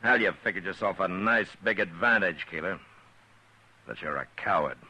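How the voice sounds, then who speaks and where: A grave, watchful frontier lawman who speaks with calm, measured authority. His voice is very low and slightly husky with a bright edge. Old 1950s radio broadcast sound. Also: heavily acted, poor audio. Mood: stern. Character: stern weary frontier marshal. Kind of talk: 1950s radio drama acting.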